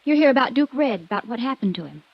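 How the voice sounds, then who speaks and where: A woman's voice: on the high side, animated, quick pace, gravelly, no echo. An actress playing a defiant, headstrong young woman from a rich household in a 1950s radio drama, blunt and dramatic. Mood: probing, blunt. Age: young adult. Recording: muffled old radio recording.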